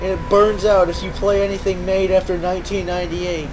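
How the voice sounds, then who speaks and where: A man's voice: high-pitched, little pitch movement, brisk, over music, low-quality recording. A man giving sarcastic, sweary game commentary in an exasperated, repetitive, mock-enthusiastic manner. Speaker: gaming streamer. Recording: loud fan and game noise behind. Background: whirring computer fan, game audio.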